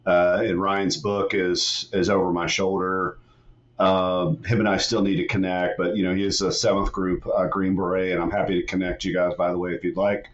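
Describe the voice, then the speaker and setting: A middle-aged man from West Virginia, a retired soldier speaking as a podcast guest in a laid-back, halting way. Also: sits low, flat delivery, highs cut off. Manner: laid-back, frequent "man" and "you know", halting restarts. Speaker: guest, retired Army master sergeant and author. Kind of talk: casual podcast interview.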